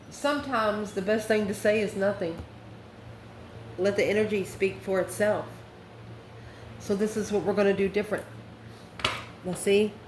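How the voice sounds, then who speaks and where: The recording is echoey, with clear noise behind the voice. A woman speaks at a medium pitch as she hosts a tarot reading. She talks casually in mystical terms and addresses her listener directly and with affection. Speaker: tarot reader, host. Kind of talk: casual spoken tarot card reading to camera. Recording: echoey room, clearly noisy background.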